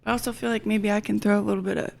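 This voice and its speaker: A woman's voice: medium pitch, level tone, rapid-fire, gravelly, darkish tone. A woman in her twenties chatting casually as a podcast guest. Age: 20s. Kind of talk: casual chat.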